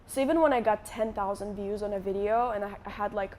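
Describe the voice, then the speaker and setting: A woman's voice: mid-range voice, fast, a little mellow. Casting note: young woman from Moldova, rambling and chatty, speaking live on a conference stage with faint noise behind her. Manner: chatty, many likes and restarts. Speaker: guest YouTuber. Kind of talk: casual onstage interview.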